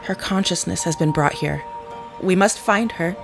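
Actress as icy formal otherworldly lady, measured n cutting, audio drama, bit of music behind. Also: fairly low pitch, gravelly. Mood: solemn, composed.

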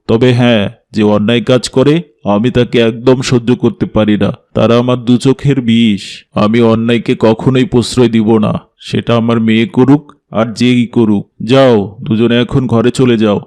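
A man playing a strict, pious middle-aged father and mosque president in a cartoon, with a measured, authoritative, formal manner. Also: medium pitch, flat delivery, a little mellow, close to an everyday voice. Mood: stern.

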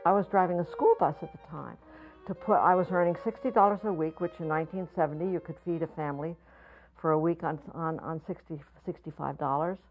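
A woman's voice: low voice, a little fast, lo-fi sound, faint music behind. An older woman, a potter, talking reflectively in an interview, often searching for words and repeating herself. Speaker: potter and art school founder. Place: studio or home with pottery shelves.

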